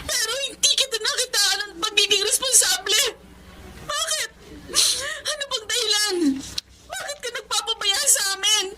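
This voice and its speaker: Woman acting as aggrieved young Filipino wife, very high voice, pleading and scolding, radio melodrama, faint background noise. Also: moderate pace, pushed and bright, full character voice. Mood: angry, pleading. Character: anguished, nagging young wife and mother of poor family.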